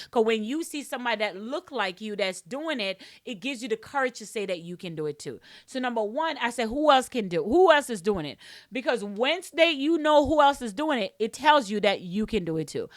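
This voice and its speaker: A woman's voice: medium pitch, a bit bright, dry recording, studio quality. A woman with a strong Jamaican accent, a podcast host teaching business mindset in a casual, emphatic way, often repeating and restarting phrases.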